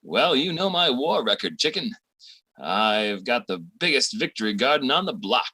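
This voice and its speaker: A man's voice: mid-range voice, very animated, normal speed, sharp tone, slightly rough, no echo, exaggerated voice. A man playing a cocky, boastful but cowardly boyfriend, slangy and swaggering, in an old-time radio comedy.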